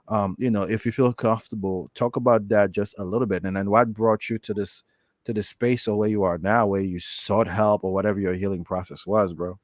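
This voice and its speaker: A man's voice: fairly low pitch, fairly even intonation, brisk, darkish tone, no echo, studio quality. Emphatic man hosting a live podcast. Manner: earnest, emphatic, speaks to live viewers. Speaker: podcast host.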